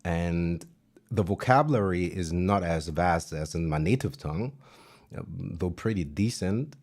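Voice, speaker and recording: A man with a German accent chatting casually on a music livestream, relaxed and self-deprecating, with plenty of fillers. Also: deep voice, a little slow.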